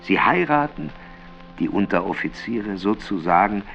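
Radio drama: sorrowful, ironic writer-narrator, low voice, theatrical storytelling, slightly dull recording over music. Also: male voice, expressive, unhurried, vocal fry, a bit bright, heavily acted. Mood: wistful, storytelling. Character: melancholy, bitterly ironic male Austrian novelist reading his writings. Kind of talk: literary radio play narration.

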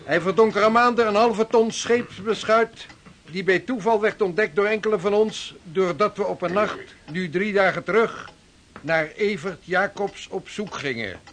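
A man's voice: high voice, normal speed, fairly bright tone, a little hiss or hum. A man playing a severe, formal ship's officer in a radio drama, speaking with declamatory authority. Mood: formal, stern.

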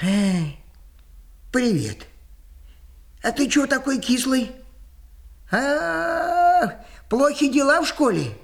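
An actor voicing a kindly, sly little wood-goblin in a children's audio fairy tale, speaking in an unhurried, conspiratorial way. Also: sits high, big pitch swings, vocal fry, full character voice. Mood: teasing, casual.

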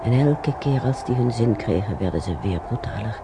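An actress playing an older woman in a radio play, musing haltingly and sorrowfully over the past in a husky, gravelly voice. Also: a bass voice, animated, very noisy. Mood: anxious, bitter. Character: older woman, sorrowful, brooding, recalling war years. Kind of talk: radio play monologue, reminiscing.

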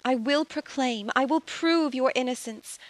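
A woman voicing a gentle young noblewoman, earnest and emotional, in an audio drama. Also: high-pitched, unhurried, dry recording. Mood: tender. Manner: earnest, emotional, tender pleading.

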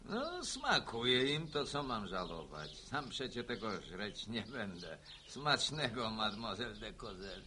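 Bright, animated village raconteur. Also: male voice, fairly low pitch, unhurried, hardly any pauses, a little hiss or hum, exaggerated voice. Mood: breezy. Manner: colourful storytelling, mimicking others, peppering French words. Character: cocky, jovial Polish war veteran villager, storytelling raconteur. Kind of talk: radio drama dialogue.

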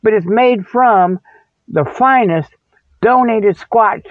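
An American man hosting a solo comedy show in a folksy, rambling, repetitive way, drifting between digressions and mock sales pitches. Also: slow, no echo. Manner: folksy, digressive, repetitive, loses his thread. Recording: muffled, thin phone-like radio sound.

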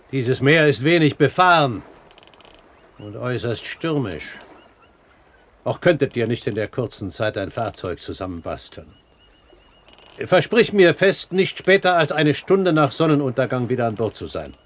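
An actor playing a self-assured, courteous pirate captain in a German radio play, speaking formally and with measured articulation. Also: male voice, mid-range voice, expressive, natural voice. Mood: calm, authoritative. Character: self-assured male pirate captain, commanding yet courteous great-uncle. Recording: 1970s radio recording, faint hiss.

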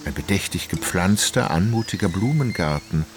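A man's voice: low voice, lively intonation, moderate pace, over music. A man giving scripted literary narration for a radio play in a composed, precise, formal manner.

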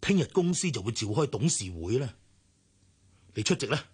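A husky, sighing older company director. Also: male voice, mid-range voice, sing-song, normal speed, darkish tone. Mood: urgent, pleading. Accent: Hong Kong Cantonese. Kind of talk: radio drama acting.

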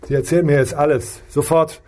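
An actor playing an insistent but calm plainclothes policeman in a radio crime drama, with low-key probing delivery and a husky voice. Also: a little fast, lightly acted.

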